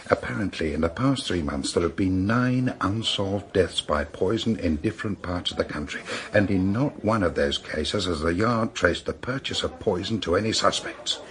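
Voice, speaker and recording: An actor playing a matter-of-fact British police detective in a radio drama, laying out facts deliberately. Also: male voice, sits low, expressive. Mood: grave, intrigued.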